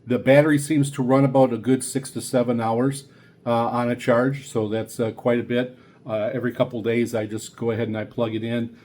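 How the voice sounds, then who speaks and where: A middle-aged man giving a casual, unscripted equipment review as a video host, explaining in a halting, repetitive way. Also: medium pitch, level tone, a little mellow. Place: small indoor room at a radio desk.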